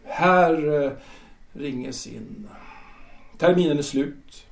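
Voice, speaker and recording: An actor playing a solemn otherworldly guide of the dead, speaking slowly and questioning like a patient teacher. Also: male voice, a little high, animated, creaky. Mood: solemn, chanting. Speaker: guide of the afterlife. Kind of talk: audio drama acting. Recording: roomy reverberant room.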